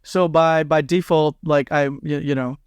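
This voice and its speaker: Man, from thailand, podcast guest, higher pitch, stammering repeats, dry sound. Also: steady tone, normal speed, smooth, no echo. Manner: laughs often, repeats words. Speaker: guest, post-producer. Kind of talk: casual interview.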